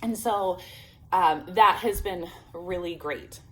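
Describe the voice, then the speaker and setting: Woman, 30s, homeschool vlogger, slightly low pitch, talking through a sore throat; chatty and upbeat, plenty of fillers. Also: slow, poor audio. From Illinois, United States. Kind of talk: casual chat to camera.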